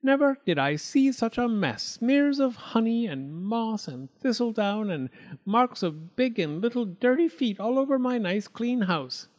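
A man's voice: extremely high pitch, sing-song, unhurried, a little mellow, pitched above the performer's own voice, heavily acted. A man voicing a fussy, houseproud little female mouse who frets and scolds. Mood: fretful. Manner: fretful, scolding. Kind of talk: storybook character voice.

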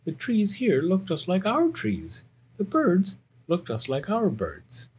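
Man voicing an eager high-pitched little bear cub. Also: huge pitch swings, slow pace, husky, exaggerated voice. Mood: excited. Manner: childlike, earnest exclamations. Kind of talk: children's storybook character voice.

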